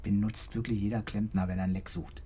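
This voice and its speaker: A man's voice: fairly low pitch, little pitch movement, fairly quick, warm tone, breathy edge. An actor playing a blunt, casual village working man with a Berlin-Brandenburg accent in a naturalistic radio play. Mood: casual, curious. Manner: colloquial, blunt. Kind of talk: naturalistic radio drama dialogue. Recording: very noisy, ambient sound behind.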